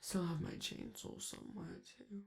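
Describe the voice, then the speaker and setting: A man voicing a flamboyant, affectionate male robot star who speaks softly and teasingly to his partner in a romantic ASMR roleplay. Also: fairly high pitch, wildly swooping pitch, measured pace, gravelly, full character voice. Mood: reassuring. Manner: soft, doting, teasing, reassuring.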